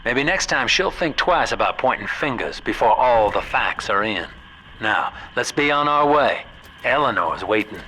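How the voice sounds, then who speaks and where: A man's voice: medium pitch, expressive, normal speed, background noise. An actor playing a folksy, wry middle-aged American man with a drawl, talking colloquially over a radio. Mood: wry, resigned. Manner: drawling, colloquial, old-fashioned slang.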